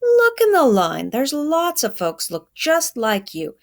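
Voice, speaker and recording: Woman acting out a stern, scolding storybook man, pitched up. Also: huge pitch swings, slow pace, exaggerated voice. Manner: scolding, folksy ungrammatical speech. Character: stern, threatening man guarding a food line. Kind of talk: children's storybook read-aloud.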